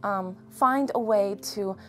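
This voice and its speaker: A young woman from Ukraine answering interview questions earnestly, choosing her words with measured, hesitant pauses. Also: medium pitch. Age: young adult. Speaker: interview guest. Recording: music behind the voice. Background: background music.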